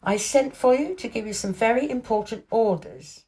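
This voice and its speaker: A woman's voice: on the high side, expressive, moderate pace, warm tone. A woman voicing a lordly young invalid boy in a storybook, self-important and precise, with a dreamy streak. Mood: lordly, commanding.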